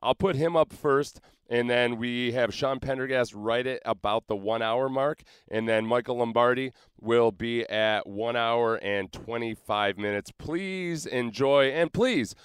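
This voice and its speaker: A man in his forties talks casually as a podcast host and interviewer. His voice is smooth and clear, with a close, dry sound and very little room around it. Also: medium pitch, measured pace, no room sound. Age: 40s. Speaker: former professional football player. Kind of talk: casual chat.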